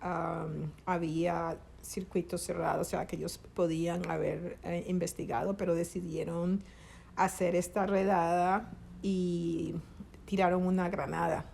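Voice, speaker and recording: A middle-aged woman from Colombia speaks with a gravelly, creaky edge to her voice. She talks haltingly, restarting phrases as she hunts for words. A faint hiss of noise sits under the recording. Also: on the low side, level tone, darkish tone. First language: Spanish. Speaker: interviewee, grieving mother. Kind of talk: conversational interview.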